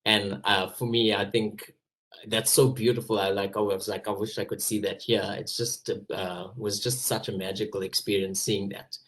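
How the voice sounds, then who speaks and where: A man's voice: fairly low pitch, little pitch movement, moderate pace, fairly bright tone. Earnest South African man on video call. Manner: earnest, enthusiastic. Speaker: actor. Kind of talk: casual personal recollection.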